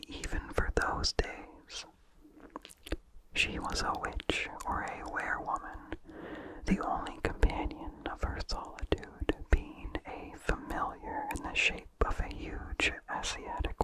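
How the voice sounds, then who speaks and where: An ASMR reader delivers an old horror tale entirely in whispers. The reading is unhurried and measured, soft and breath-led from one phrase to the next. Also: extremely high pitch, rough recording. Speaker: ASMR narrator.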